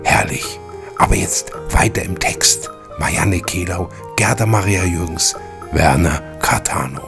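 Male host, deep voice, chatty commentary, familiar direct address, opinionated, music behind. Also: unhurried, vocal fry. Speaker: channel host. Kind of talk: informal commentary addressed to viewers.

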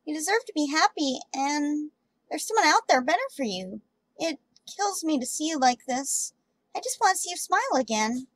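Woman voicing a very high-pitched shy cartoon girl. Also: lively intonation, moderate pace, no room sound, rough recording. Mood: tender. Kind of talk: amateur comic dub acting.

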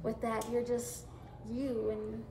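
A woman's voice: fairly high pitch, level tone, takes it slowly, noisy background. Hesitant woman in a roomy recording. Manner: hesitant, searching, many fillers. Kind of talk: reflective talk in a played video.

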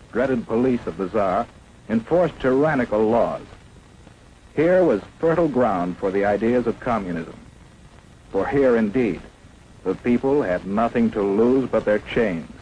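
A man's voice: mid-range voice, lively intonation, measured pace, darkish tone, highs cut off. A man narrating a 1950s propaganda film in an emphatic, declamatory way. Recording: faint hiss of an old 1950s film soundtrack.

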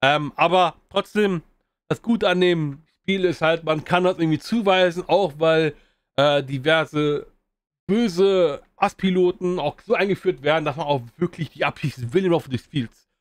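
A man's voice: fairly high pitch, moderate pace, no echo. A man giving a casual spoken review of an old video game, rambling with many fillers and restarts.

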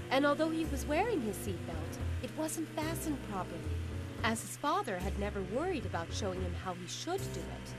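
A woman playing a friendly cartoon teacher, giving scripted, instructive narration to young children in an expressive way. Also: mid-range voice, close to an everyday voice. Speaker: presenter of children's road safety cartoon. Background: music.

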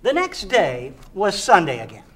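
A woman's voice: mid-range voice, wildly swooping pitch, normal speed. A woman performing reader's theater, reading a children's story aloud with expression. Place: theater stage.

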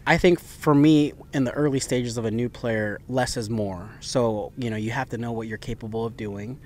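A young adult man born in the Philippines, a professional golf caddie answering interview questions in a relaxed, rambling way. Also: mid-range voice, moderate pace, a little hiss or hum. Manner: relaxed, talks in loose run-on phrases with fillers. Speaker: PGA Tour caddie, guest.

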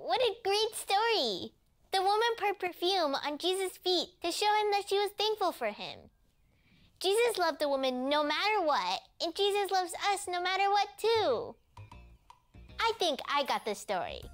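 A performer playing a small, earnest, excitable child character who talks to young viewers in an exclamatory way. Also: extremely high pitch, unhurried, soft background music, heavily acted. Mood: cheerful, grateful. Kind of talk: children's show acting, addressing young viewers.

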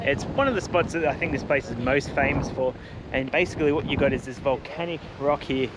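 A man's voice: mid-range voice, fairly quick. A man, vlogging casually and enthusiastically on a windy coast, filmed with a camera at arm's length. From Australia. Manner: enthusiastic, laid-back slang. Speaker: travel vlogger. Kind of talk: casual travel vlog. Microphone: handheld camera at arm's length. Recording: loud music underneath.

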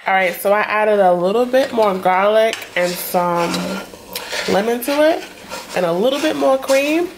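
A woman's voice: mid-range voice, lively intonation, moderate pace. Chatty young woman cooking at home. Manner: chatty, lists ingredients as she cooks. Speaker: vlogger. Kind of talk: casual cooking vlog narration. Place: home kitchen. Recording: home kitchen with clear cooking noise. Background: cooking sizzle and kitchen noise.

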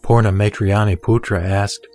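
Measured man reciting scripture over music. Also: fairly low pitch, fairly even intonation, a little mellow, no room sound. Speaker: sutra reciter and narrator. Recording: background music under the voice.

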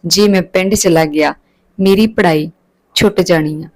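A woman's voice: on the low side, moderate pace, a little mellow, no echo, lightly acted, low-quality recording. A woman voicing a polite but stubborn young male recruit in a narrated story. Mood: polite refusal.

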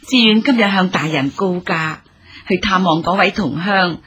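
An actress playing a humble, grateful elderly mother in a radio drama, husky and deferential. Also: lowish voice, moderate pace, a bit bright, slight background noise, lightly acted. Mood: humble, courteous. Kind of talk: Cantonese radio drama acting.

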